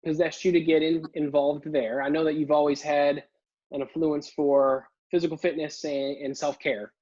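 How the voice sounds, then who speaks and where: A man's voice: a little high, level tone, normal speed, a little mellow, muffled recording. A man hosting an interview show, asking questions and reacting with enthusiasm in casual chat. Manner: enthusiastic, affirming. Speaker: podcast host.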